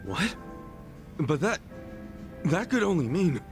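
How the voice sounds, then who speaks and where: An earnest, excitable guy in a game cutscene. His voice sits fairly high and swings widely, eager and informal, with light music behind it. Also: slow, exaggerated voice. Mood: surprised, curious. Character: earnest, excitable, loyal male friend. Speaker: video game character. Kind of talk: dramatic game cutscene acting.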